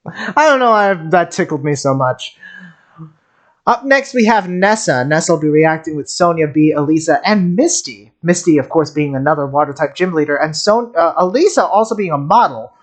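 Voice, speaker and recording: Excitable, rambling male game streamer. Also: fairly high pitch, normal speed. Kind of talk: casual live game commentary.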